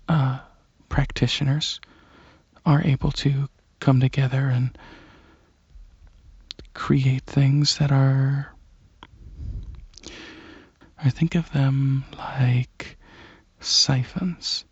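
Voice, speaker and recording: Soft, husky, hesitant alien dragon played by a man. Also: mid-range voice, flat delivery, dry recording, lo-fi sound, exaggerated voice. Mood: explaining. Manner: hesitant, halting, softly earnest. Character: shy, gentle alien dragon host, curious about Earth. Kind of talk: ASMR roleplay, soft rambling monologue.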